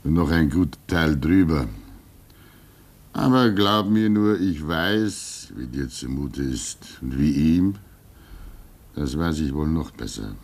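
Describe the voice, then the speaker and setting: Slow, deep-voiced old hermit. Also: male voice, expressive, heavily acted. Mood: fatherly, earnest. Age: older. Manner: fatherly, reflective, halting, swinging between emphasis and hush. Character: gentle, resigned old hermit of a remote northern outpost. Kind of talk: German radio play acting.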